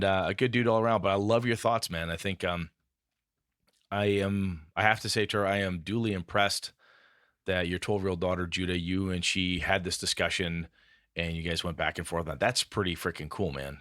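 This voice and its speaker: Deep-voiced jokey podcast co-host. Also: male voice, a bit bright, no room sound, studio quality. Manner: jokey, reads letters aloud with quoted voices. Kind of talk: casual chat, reading listener mail.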